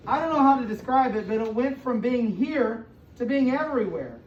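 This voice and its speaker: A woman's voice: a little high, expressive, a little fast, a little mellow, distant mic. An American woman reads a storybook to young children in an echoey room, some way from the microphone. She stops to explain in simple words and asks questions, leaning on key words. The sound is slightly muffled with faint noise. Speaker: school superintendent reading aloud to children. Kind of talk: interactive picture-book read-aloud to young children. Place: indoor room with children present.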